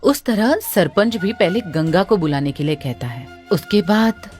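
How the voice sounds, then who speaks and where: A woman's voice: fairly low pitch, animated, a little slow, a little mellow. A woman reading scripted narration for a children's folk story. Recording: music under the voice.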